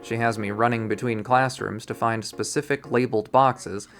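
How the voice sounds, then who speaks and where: A man reading a visual novel aloud in expressive first-person narration. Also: mid-range voice, moderate pace. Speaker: visual novel readthrough player.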